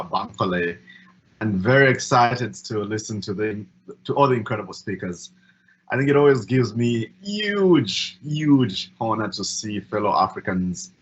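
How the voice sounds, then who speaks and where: A man from Zambia with a Zambian accent, introducing himself as a panelist in an enthusiastic, polished way. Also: fairly low pitch. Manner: enthusiastic, polished, quick phrases. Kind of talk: webinar self-introduction. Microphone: video call. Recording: dull lo-fi call audio with faint room noise.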